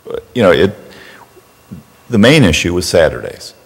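Middle-aged man, very deep and gravelly, public comment at a podium, long chamber reverb. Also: sing-song. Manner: gestures broadly, talks in halting restarts. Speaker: public speaker at a board meeting. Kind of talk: explaining at a public meeting. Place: large council chamber, standing at a podium. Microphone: podium mic, turned away from it. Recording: echoey council chamber audio.

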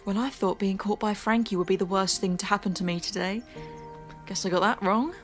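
Woman playing a resolute survivor, medium pitch. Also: steady tone, a little fast, faint music behind, close to an everyday voice. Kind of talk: audio drama acting.

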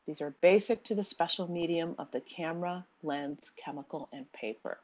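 A woman giving a recorded slide lecture in a measured, steady way, with the odd um. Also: low-pitched, highs cut off. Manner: measured, reads quotes aloud, occasional ums.

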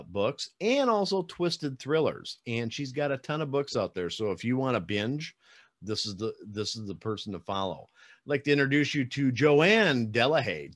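A man's voice: mid-range voice, a bit bright. A man in his forties chats as a podcast host. He is informal and pokes fun at himself, with loose, salty language. He sits close to a studio mic in a small home room. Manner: informal, self-deprecating, sprinkled with swearing. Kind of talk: casual interview chat. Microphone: studio microphone with pop filter, close.

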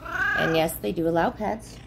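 A woman's voice: mid-range voice, sing-song, unhurried, vocal fry, background noise. A woman in her thirties chatting casually to her followers from a motel room, rambling with many ums and restarts, recorded on a phone at arm's length. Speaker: vlogger. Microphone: phone held at arm's length.

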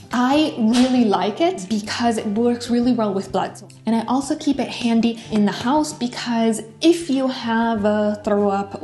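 A woman's voice: medium pitch, a little mellow. A woman in her thirties giving casual, chatty how-to advice to a camera on a tripod in her home kitchen, with fillers and self-corrections. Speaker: pet care vlogger. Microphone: camera on tripod at a distance. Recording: echoey kitchen with faint music.

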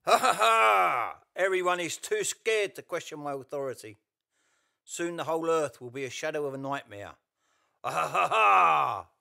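A scheming ghost overlord from a radio play, voiced by a man. He is fairly high, sharp and creaky, with a gloating, tyrannical manner. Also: lively intonation, moderate pace, exaggerated voice. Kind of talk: radio play villain acting.

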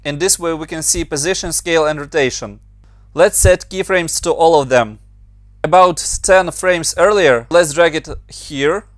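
Ukrainian-accented male tutor, a bit higher voice, very dry close mic, patient step-by-step walkthrough. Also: moderate pace, no echo. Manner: plain, step-by-step instructions with slightly non-native grammar. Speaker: motion designer and tutorial maker. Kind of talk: screen-recorded software tutorial.

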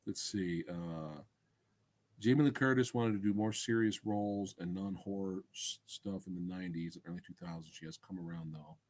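A man's voice: low voice, normal speed, darkish tone. A middle-aged man hosting a casual livestream, talking to viewers in an enthusiastic, slangy way with some swearing. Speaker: livestream host and film reviewer. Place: small indoor room crammed with collectibles. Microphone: desk microphone close in front.

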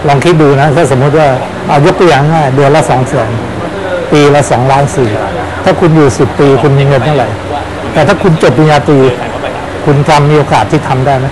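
Guy in his 60s, football coach, exclaims a lot, talking outside by a pitch, lapel mic, noisy background. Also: fairly quick. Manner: animated, exclaims often. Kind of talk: outdoor interview.

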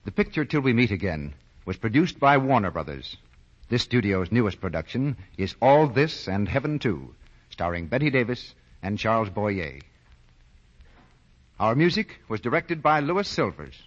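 A man's voice: on the low side, big pitch swings, normal speed. A man reading scripted announcements as a 1940s radio announcer. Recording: dull vintage 1940 radio broadcast recording.

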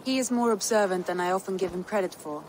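Actress doing a tender composed viking lady for a game cutscene, measured and earnest, faint ambience behind. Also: medium pitch, a little mellow, a little hiss or hum, lightly acted, poor audio. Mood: tender, sincere. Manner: measured, earnest, formal phrasing. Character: composed, tender Viking noblewoman, a steadfast confidante. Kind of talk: dramatic video game cutscene acting.

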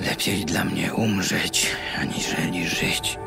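A worn out bitter prophet, very low breathy mutter, bible drama, faint music. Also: male voice, fairly even intonation, slow, full character voice. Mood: despairing anger. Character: Jonah, bitter, weary male Hebrew prophet.

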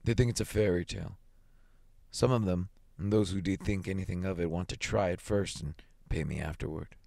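A man voicing a grave, gruff middle-aged soldier in a story, with a quiet, solemn, dogged manner. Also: sits low, steady tone, a little fast, dry recording, studio quality. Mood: slow, reluctant.

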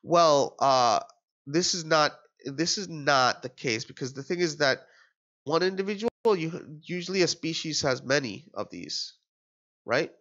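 A man's voice: on the high side, animated, a little slow, a bit bright. Nasal man tutoring, stuffed up with a cold. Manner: thinking aloud with fillers and restarts. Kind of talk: explaining an exam question. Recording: dull lo-fi screen recording.

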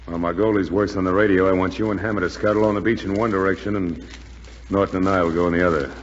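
Low-voiced commanding wartime airman, played by an actor. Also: steady tone, fast, close to an everyday voice. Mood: brisk, grave. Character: weary, steady male commander of stranded wartime aircrew. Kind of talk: 1940s radio drama acting. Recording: muffled, noisy, reverberant 1940s radio recording.

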